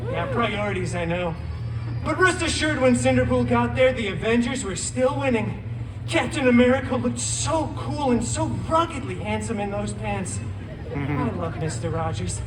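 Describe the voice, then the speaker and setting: High-pitched campy wisecracking superhero storyteller. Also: male voice, lively intonation, moderate pace, background noise. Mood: chatty, sly. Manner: campy, sarcastic, exaggerated asides. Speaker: live show performer. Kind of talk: theme-park stage storytelling. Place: theme park show, recorded from audience. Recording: echoey distant PA, loud music and crowd.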